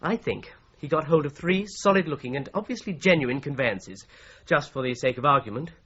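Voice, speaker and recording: An actor playing a shrewd, methodical solicitor in a 1980s radio drama, with an English accent and a precise, measured, probing manner. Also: male voice, on the high side, animated, a bit bright, a little hiss or hum. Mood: thoughtful. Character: shrewd, methodical male solicitor turned amateur investigator. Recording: slightly dull 1980s radio recording.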